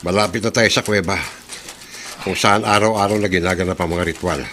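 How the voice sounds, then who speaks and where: An actor playing a mysterious, cautious snake charmer and guide in a horror radio drama, with a Filipino accent and a measured, ominous manner. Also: male voice, sits low, steady tone, pushed and bright, a little hiss or hum. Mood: guarded, warning.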